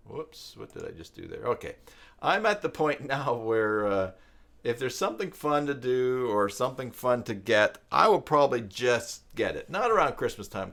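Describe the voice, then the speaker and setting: An older man talks casually as a live stream host. His voice sits on the low side. He rambles reflectively, pausing to find his words. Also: vocal fry, fairly bright tone. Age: older, with grandchildren. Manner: rambling, reflective, hesitant pauses.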